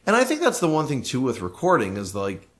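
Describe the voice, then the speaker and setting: Male, podcast co-host, casual conversation, mid-range pitch, emphatic, opinionated. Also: wildly swooping pitch, a little fast. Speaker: podcast co-host, recording engineer.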